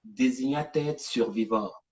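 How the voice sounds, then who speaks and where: A woman hosting a casual live stream, talking in an animated, digressive, chatty way. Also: very deep voice, slow, lo-fi sound. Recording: distant from the mic.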